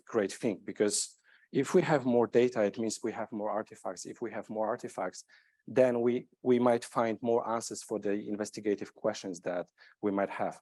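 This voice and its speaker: Polish guy giving a tech talk, kinda low voice, lots of uhs and restarts. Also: a little mellow. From Poland. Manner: halting, many fillers and repeated words. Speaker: conference speaker. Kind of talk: technical conference talk.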